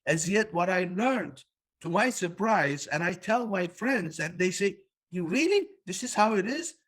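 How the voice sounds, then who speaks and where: A man's voice: high-pitched, expressive, a little slow, a bit bright. A man born in Turkey hosting a business interview podcast, talking emphatically and repeating words for stress. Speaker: podcast host.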